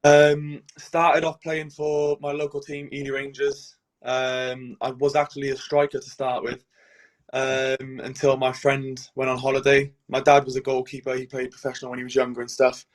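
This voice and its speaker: Young Welsh man, flat delivery. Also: mid-range voice, normal speed, rough recording. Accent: Welsh, Cardiff. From Wales. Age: 20s. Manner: relaxed, many "um"s and "and stuff". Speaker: guest goalkeeper. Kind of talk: casual interview answers.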